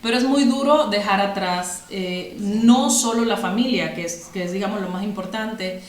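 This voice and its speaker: A woman with a Cuban accent speaks as a podcast guest, thinking aloud and restarting her sentences. Her voice sits at a middling pitch. The room around her rings with noticeable echo. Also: moderate pace. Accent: Cuban Spanish. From Cuba. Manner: reflective, frequent fillers and restarts. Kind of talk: conversational interview.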